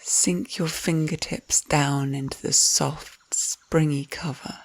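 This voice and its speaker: A woman narrating a scripted guided visualisation in a soft, soothing, unhurried manner, with breathy phrase endings. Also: low-pitched, fairly even intonation, no room sound, whispered. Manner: soothing, unhurried, gentle instructions.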